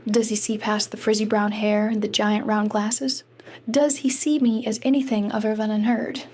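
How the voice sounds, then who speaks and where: A woman's voice: mid-range voice, flat delivery, normal speed, darkish tone, lightly acted. A woman voicing a self-conscious, bookish fifteen-year-old girl, narrating in a wry, introspective way for a comic dub. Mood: wistful, self-doubting. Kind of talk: scripted first-person narration for a comic dub.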